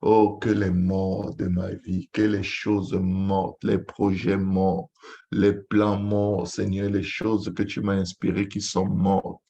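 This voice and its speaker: A man's voice: deep voice, completely flat delivery, slow, gravelly, warm tone, highs cut off. A man leading a fervent, improvised Pentecostal prayer as a pastor, pleading in repetitive, chant-like invocations.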